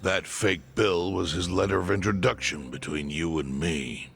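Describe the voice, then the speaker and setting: An actor playing a grave, knowing older crime syndicate chairman in an English game dub, speaking in a deliberate, solemn and cryptic way. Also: sits low, creaky, fairly bright tone, slight background noise. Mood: serious, explanatory.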